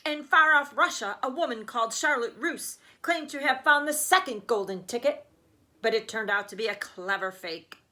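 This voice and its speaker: A woman's voice: a little high, moderate pace, pushed and bright. A woman reading a children's story aloud as a teacher would, with emphatic storytelling phrasing.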